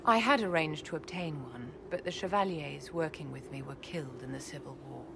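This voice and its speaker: An actress voicing a haughty, secretive court enchantress in a video game, poised and condescending. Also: female voice, low-pitched, fairly quick, gravelly, background noise. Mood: imperious. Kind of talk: video game cutscene dialogue.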